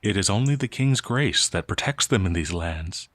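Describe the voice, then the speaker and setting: A man playing a gentle, anxious werewolf outlaw in a fantasy ASMR roleplay, hesitant, stammering and apologetic. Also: on the low side, animated, normal speed, dry recording. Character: gentle, timid, anxious male werewolf outlaw, easily frightened.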